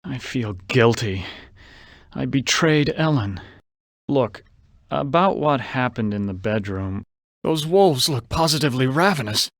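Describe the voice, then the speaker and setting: A man's voice: mid-range voice, animated, unhurried, no echo, studio quality. A man playing a suave, vain, anguished adventurer in a gothic horror video game, speaking in a refined, theatrical way. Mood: somber, bargaining. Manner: theatrical, refined, slightly haughty.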